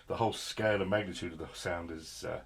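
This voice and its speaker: A man's voice: low-pitched, steady tone, quick pace, gravelly, slightly rough. A British man presenting a casual hands-on product review in a chatty, jokey, effusive way with quaint turns of phrase. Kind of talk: casual hands-on unboxing commentary.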